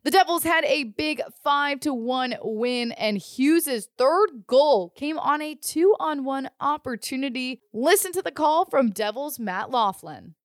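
A woman's voice: high-pitched, expressive, unhurried, hardly any pauses, dry recording, clean studio sound. A woman hosting a sports podcast, reading scripted news and recaps. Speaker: podcast host.

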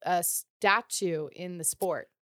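A woman hosts a casual interview show. Her voice is a little lower than most women's. The recording is dry, with almost no room sound. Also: fairly even intonation, slow pace, a bit bright, no room sound. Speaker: podcast host, interviewer.

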